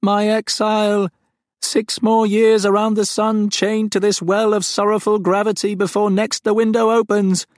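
A man's voice: flat delivery, moderate pace, dry recording, clean studio sound, lightly acted. A man doing a wailing alien farm creature. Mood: mournful. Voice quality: high, strained, unnatural timbre. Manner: wailing, melodramatic, pleading.

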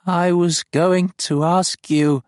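A man's voice: fairly even intonation, slow, dry recording, studio quality. A man voicing a distraught, many-legged alien farm creature with a strained, unnatural voice, wailing and pleading melodramatically. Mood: plaintive. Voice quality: high, strained, unnatural timbre.

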